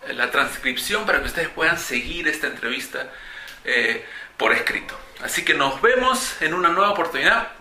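A man hosting an online English lesson, speaking in a clear, teacherly way as he introduces and interviews a guest. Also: fairly high pitch, sharp tone. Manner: clear, teacherly, explains terms. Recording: echoey room, faint noise.

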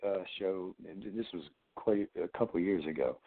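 A man's voice: deep voice, normal speed, slight background noise. A man calling in to a talk show with a sore, froggy throat, rambling with many restarts. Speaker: caller, fellow show host. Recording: tinny phone line.

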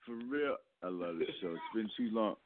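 A man's voice: on the low side, lively intonation, moderate pace. A man in his forties hosting a casual call-in radio chat, loose and profane, laughing a lot. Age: 40s. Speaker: host. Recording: phone-line call-in audio.

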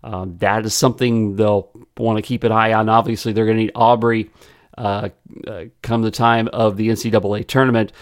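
Slightly raspy man hosting sports talk. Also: on the low side, flat delivery, no echo, studio quality. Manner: chatty, many filler pauses. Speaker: sports podcast host.

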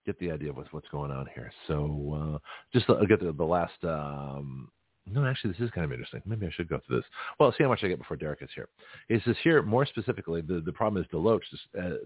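A man with a very low, gravelly and husky voice hosts a talk radio show, rambling fast and chatty while slightly hoarse from a cold. The sound is dry and narrow, like a phone line. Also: no room sound. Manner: rambling, chatty, self-interrupting. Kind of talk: casual talk radio monologue.

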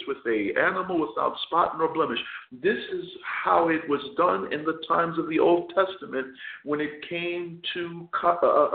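A man preaching a radio sermon as chaplain and host, hoarse and losing his voice, often stopping to restart or repeat words. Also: fairly high pitch. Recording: tinny phone line, echoey.

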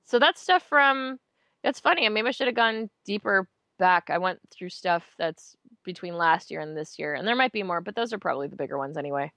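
Casual interview guest: a woman with a low-pitched voice, chatty and wry, recorded dry and close. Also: lively intonation, pushed and bright, no echo. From United States. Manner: chatty, self-mocking, quick asides. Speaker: voice actor guest.

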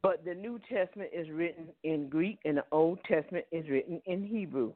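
A man's voice: a little high, a little fast, no echo. A man with a Southern accent preaching a Bible teaching, punctuating his points with "Amen" and "Hallelujah.". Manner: peppers speech with "Amen" and "Hallelujah". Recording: tinny phone line, dry.

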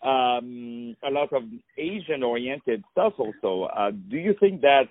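A man's voice: medium pitch, animated, a little slow, no room sound. Man hosting a radio interview, effusive and repeats himself, dry muffled sound. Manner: effusive, repeats words, many uh fillers. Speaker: radio show host. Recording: dull lo-fi radio sound with faint music.

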